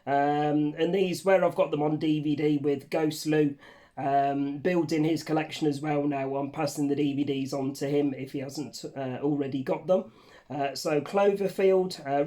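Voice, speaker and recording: Hoarse man with a cold. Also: on the high side, dark tone. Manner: chatty, rambling, casually swearing. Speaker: channel host. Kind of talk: casual vlog chat. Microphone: facing camera under a ring light.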